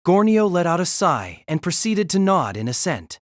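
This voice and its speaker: A woman's voice: on the low side, very animated, normal speed, dry recording, studio quality. A woman reading scripted narration of a light novel as the narrator of an audio drama.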